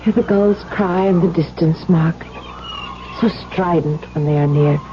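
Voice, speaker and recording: An actress playing a sorrowful, resigned young wife with quiet bitterness, measured and wistful in a 1970s radio drama. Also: female voice, on the low side, music in the background, natural voice. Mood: wistful, bitter. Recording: dull, noisy vintage radio recording.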